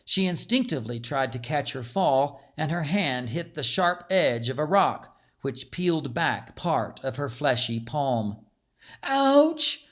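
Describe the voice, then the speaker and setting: A man reading a scripted story as an audiobook narrator, with a measured, dramatic storytelling delivery. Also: medium pitch, animated, a bit bright.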